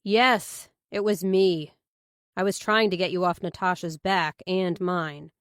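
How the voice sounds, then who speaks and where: Woman voicing a bitter, menacing man, low-pitched. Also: fairly even intonation, measured pace, dry recording, clean studio sound, natural voice. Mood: bitter, confessing. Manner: curt, menacing, defiant. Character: angry, bitter, threatening man confronting his captive. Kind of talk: audiobook character dialogue.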